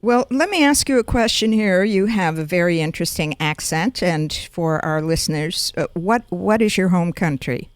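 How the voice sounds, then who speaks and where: A woman hosting a radio interview show who speaks deliberately and often repeats words as she frames her questions. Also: lowish voice, expressive, dry recording.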